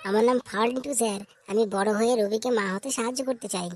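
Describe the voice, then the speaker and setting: A woman's voice: a little high, steady tone, fast, a little mellow, close to an everyday voice. Brief: female actor as cheeky cartoon pupil, eager and earnest, rattling off answers at top speed. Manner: reciting answers eagerly to teacher. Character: cheeky, earnest schoolchildren in a comedy classroom. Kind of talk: comic cartoon dialogue.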